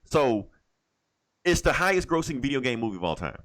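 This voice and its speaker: Animated man chatting about movies, emphatic. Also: medium pitch, fairly quick. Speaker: podcast host. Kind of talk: casual opinionated movie chat with swearing.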